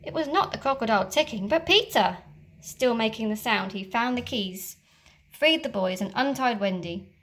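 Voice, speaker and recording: A woman teacher reading a children's storybook aloud, with expressive, dramatic storytelling. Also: a little high, normal speed.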